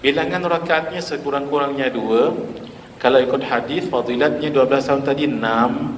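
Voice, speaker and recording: A man in his forties with a Malaysian Malay accent, giving a lively sermon in a mosque and putting questions to the audience. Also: medium pitch, normal speed, a little hiss or hum. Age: 40s. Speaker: preacher giving a mosque lecture. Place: mosque hall. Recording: echoey hall reverb.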